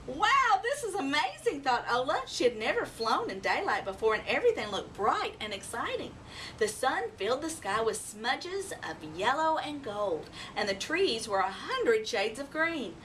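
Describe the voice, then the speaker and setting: A woman's voice: fairly high pitch, sing-song, normal speed, fairly bright tone. A woman reading a children's story aloud expressively, acting out animal sounds and voices. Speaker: storybook reader. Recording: noisy, distant microphone.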